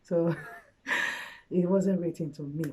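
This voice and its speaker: A woman giving relationship advice in a casual, earnest vlog chat, her voice hoarse from a cold. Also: on the low side, fairly even intonation, slow. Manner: chatty, earnest, frequent fillers. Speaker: relationship vlogger. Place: at home late at night.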